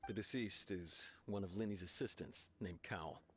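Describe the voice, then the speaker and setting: Game police officer, male actor: deep, sober, measured, plainly skeptical and frank. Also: close to an everyday voice. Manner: measured, matter-of-fact, frank. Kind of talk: anime game voice acting.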